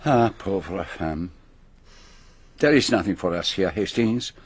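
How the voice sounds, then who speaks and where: A grave, shrewd detective with a French-speaking accent in a radio drama. His low voice moves deliberately through precise, formal phrases. There is clear noise behind him. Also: expressive. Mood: brisk, assessing. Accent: French-speaking European. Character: shrewd, grave, theatrical foreign male detective.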